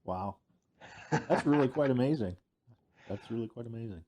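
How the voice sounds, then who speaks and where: A man's voice: medium pitch, animated, normal speed, darkish tone. A man hosting an interview who responds agreeably and adds his own remarks. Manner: agreeable, short affirmations. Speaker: interview host. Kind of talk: conversational interview.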